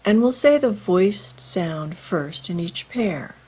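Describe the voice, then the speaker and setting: A woman teaching English pronunciation in a scripted lesson, enunciating deliberately and carefully. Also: mid-range voice, animated, dark tone, breathy edge, low-quality recording. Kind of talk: scripted instructional lesson with demonstrations.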